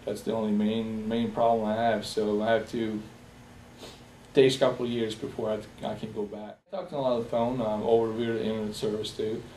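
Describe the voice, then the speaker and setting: Soft-voiced Bosnian-born man, halting, roomy echo. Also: fairly low pitch, level tone. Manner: halting, many fillers and restarts. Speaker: interviewee. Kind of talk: personal interview testimony. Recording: roomy echo, distant from the mic, faint hiss.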